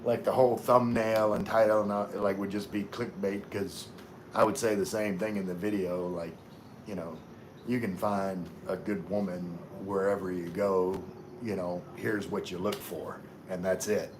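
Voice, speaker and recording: A very deep-voiced man from Louisiana, chatting loosely with his live audience and answering comments as they come in. He talks in a relaxed, meandering way, full of "uh" and "you know". A faint hum sits under his voice. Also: normal speed, poor audio. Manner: relaxed, rambling, many fillers and restarts. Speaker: livestream host reading chat. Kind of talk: casual live chat.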